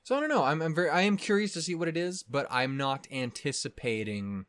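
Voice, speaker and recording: A man's voice: a little high, lively intonation, a little fast, fairly bright tone, no echo, studio quality. A man giving casual, sweary, slangy reaction commentary with a sardonic edge. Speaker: reaction video host.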